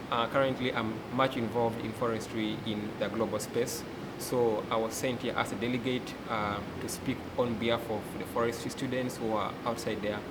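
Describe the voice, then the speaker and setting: A young man from Uganda with a Ugandan accent, speaking earnestly as a forest educator and delegate, often pausing with "uh". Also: mid-range voice, rough recording. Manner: earnest, many "uh" pauses. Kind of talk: interview testimony. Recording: loud background hum.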